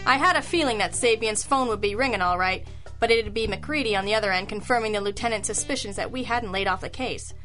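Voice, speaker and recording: An actress playing a sharp, sardonic female private detective, brisk and needling, in old-time radio style. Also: mid-range voice, soft background music, natural voice. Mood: wry. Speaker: detective and narrator.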